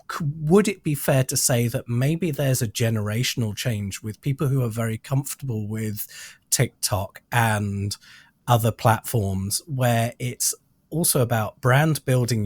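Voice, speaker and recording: A British man hosting a podcast interview, asking questions in deliberate, wordy phrasing. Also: medium pitch, darkish tone, breathy edge, no echo. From United Kingdom.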